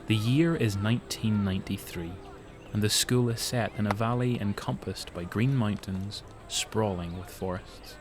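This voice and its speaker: A man's voice: fairly low pitch, moderate pace. A man with a Northern Irish accent giving scripted, dramatic narration for an audio drama. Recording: noticeable background noise.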